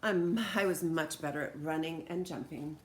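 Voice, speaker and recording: A woman reading a children's story aloud in an animated, expressive way with dramatic emphasis. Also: low voice, normal speed. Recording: roomy echo, background noise.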